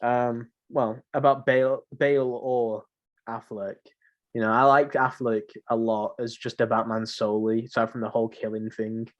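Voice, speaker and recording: A man's voice: medium pitch, flat delivery, normal speed. A young man co-hosting a podcast in casual, rambling, informal chat. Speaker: podcast co-host. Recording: muffled lo-fi home recording.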